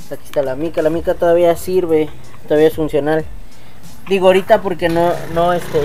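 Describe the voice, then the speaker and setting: A man in his thirties with a Mexican accent, a motovlog host chatting casually and talking straight to his viewers in an outdoor workshop yard. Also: on the high side. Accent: Mexican Spanish. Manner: chatty, slangy, addresses viewers directly. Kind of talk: casual vlog chat.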